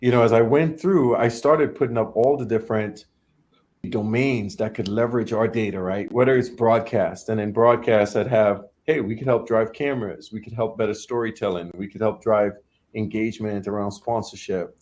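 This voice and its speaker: A man's voice: on the low side, normal speed, a little mellow. A young adult man from Trinidad and Tobago, a startup founder talking casually as an interview guest, often repeating and restarting his words.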